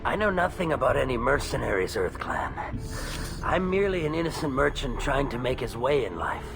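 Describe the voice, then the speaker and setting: A man's voice: medium pitch, very animated, brisk, gravelly. A man acting as a shifty, nervous alien merchant in a video game, with a wheedling, hesitant, theatrical delivery. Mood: feigned innocence.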